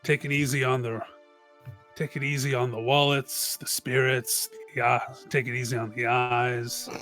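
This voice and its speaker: A man's voice: mid-range voice, little pitch movement, measured pace, fairly bright tone, lightly acted. Man playing an easygoing, cocky tavern bouncer. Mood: friendly, joking. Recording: music playing behind.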